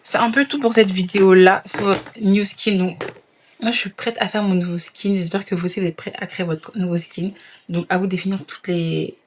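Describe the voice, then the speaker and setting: A woman's voice: mid-range voice, steady tone, moderate pace, a little hiss or hum. A young adult woman chatting casually in a vlog, dropping in English phrases as she talks. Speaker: vlogger.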